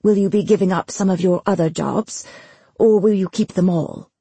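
A woman voicing a cool, sardonic young male lieutenant with a deadpan, controlled manner, as in an audiobook. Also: mid-range voice, moderate pace, husky, no room sound. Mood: mock-polite sarcasm.

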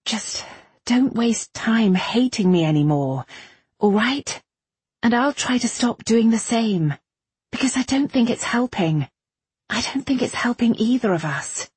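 A wounded, stubborn young woman in an audiobook novel, acted by a woman narrator. Her voice is very breathy and husky, raised in pitch and recorded dry. She is frank, weary and insistent, with a sardonic edge. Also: expressive, measured pace, a little mellow, no echo, full character voice. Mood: weary, earnest.

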